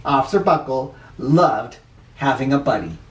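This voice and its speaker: A man reading a picture book aloud to children in an expressive, playful way, acting out the dialogue and exclamations. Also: mid-range voice, moderate pace. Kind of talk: animated storybook read-aloud. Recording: roomy echo, distant microphone.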